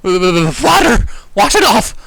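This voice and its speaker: Casting: man as an excitable young colt in a storybook reading, yelping, raised pitch, forceful. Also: takes it slowly, creaky, no echo, full character voice, rough recording. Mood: panicked. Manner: whining, yelping, exclamatory. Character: panicky, whiny young male pony, the story's hero.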